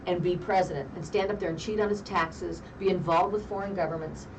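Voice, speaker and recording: A woman vents indignantly in casual talk, piling one complaint onto the next in a fast rant. Her voice sits on the low side and is picked up from a distance. A clear hiss of noise runs underneath. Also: steady tone. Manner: indignant, rapid ranting. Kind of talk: casual political chat. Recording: distant mic with noisy background.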